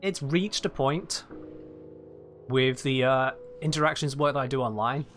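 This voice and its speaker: A man's voice: mid-range voice, a little slow, fairly bright tone, soft background music. A British man chatting casually while he livestreams a video game, rambling and correcting himself as he goes. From United Kingdom. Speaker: livestream gamer. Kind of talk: casual chat while gaming.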